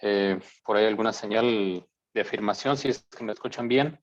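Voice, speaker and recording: Man from guanajuato, lowish voice, lo-fi video call audio, polite hesitant remarks. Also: moderate pace. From Guanajuato, Mexico. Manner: polite, hesitant, many fillers. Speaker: forum participant. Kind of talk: remarks over a remote call.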